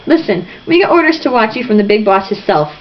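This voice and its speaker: A woman's voice: medium pitch, lively intonation, quick pace, muffled recording, slight background noise, close to an everyday voice. A woman voicing a menacing young male street thug with slangy, taunting threats, in an amateur storybook reading. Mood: taunting menace. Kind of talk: amateur storybook read-aloud.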